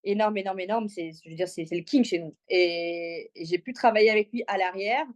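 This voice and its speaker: A woman's voice: medium pitch, a little fast, a little mellow. Casting: chatty female podcast guest, mid-range voice, casual and slangy delivery. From Congo, moved to Belgium aged six. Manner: talkative, informal, slangy. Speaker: interview guest, comedian. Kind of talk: casual personal storytelling in an interview.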